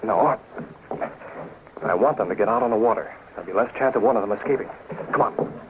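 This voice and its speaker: Man playing a low, steady radio crime-fighter. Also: fairly quick, lightly acted. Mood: decisive. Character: steady, decisive masked crime-fighter hero, male. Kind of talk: 1940s radio acting. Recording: noisy muffled 1940s radio recording.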